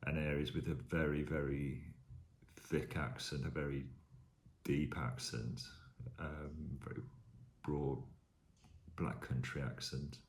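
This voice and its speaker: Very deep-voiced man with a mild Brummie accent. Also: flat delivery. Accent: mild Birmingham (Brummie). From Staffordshire, England. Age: 50s. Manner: thoughtful, measured, with frequent pauses. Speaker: interviewee. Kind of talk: reading word lists and answering interview questions. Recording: roomy echo.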